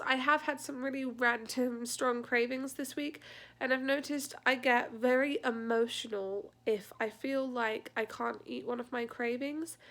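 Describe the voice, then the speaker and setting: A woman's voice: sits high, fairly bright tone. A young woman chatting informally in a casual personal vlog, sounding congested with a blocked nose and a sore throat. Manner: chatty, informal, laughs lightly at her own complaints. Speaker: vlogger. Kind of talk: casual pregnancy update vlog.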